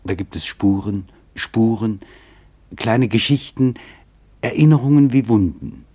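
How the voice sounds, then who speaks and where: A man with a fairly low, husky voice narrates a literary documentary. His delivery is solemn and deliberate, shaped in rhetorical phrases as he presents his own written account. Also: warm tone. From Germany. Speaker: author and narrator. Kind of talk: scripted literary documentary narration read to an audience.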